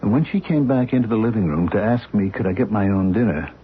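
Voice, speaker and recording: A frightened, stammering husband. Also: male voice, on the low side, lively intonation, fast talker, breathy edge. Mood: anxious. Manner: agitated, stammering, repeating himself. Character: anxious middle-aged man, husband, frightened and pleading. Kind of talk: 1970s radio drama acting. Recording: muffled lo-fi old radio recording.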